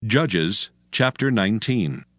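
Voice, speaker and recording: A man narrates scripture in a solemn storytelling style. His voice is fairly low, with lively, expressive inflection. Soft music plays underneath. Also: slow. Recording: faint music behind the voice.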